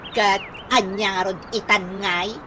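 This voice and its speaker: A woman playing a sharp-tongued, debt-ridden wife in a radio comedy-drama, argumentative, with an Ilocano accent. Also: medium pitch, steady tone, a little slow, close to an everyday voice. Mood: scolding. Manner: nagging, argumentative. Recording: clearly noisy audio.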